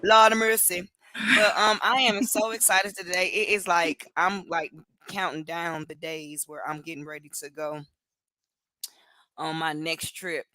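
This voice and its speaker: A woman from Cleveland co-hosting a casual livestream chat from home over a video call, animated and laughing often. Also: lowish voice, a little slow, no echo. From Cleveland, Ohio. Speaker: co-host.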